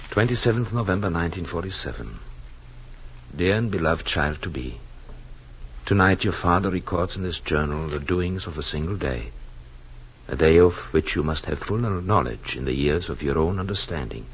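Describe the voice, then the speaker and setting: An actor playing an earnest, gentle husband and father-to-be in a 1940s radio drama, with formal, measured phrasing. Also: sits low, slightly rough, exaggerated voice. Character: earnest, tender husband and father-to-be, reading his journal. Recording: dull vintage radio recording with music.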